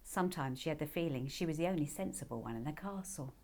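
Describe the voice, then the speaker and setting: A woman reading her own children's book as an expressive storybook narrator. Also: low-pitched, fast.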